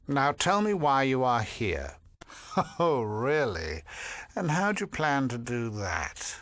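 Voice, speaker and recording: An actor playing a haughty, sinister cult priest in a video game, pompous and condescending, with silky menace beneath false piety and drops into menacing murmurs. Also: male voice, medium pitch, a bit bright, dry recording, heavily acted. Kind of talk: theatrical video game voice acting.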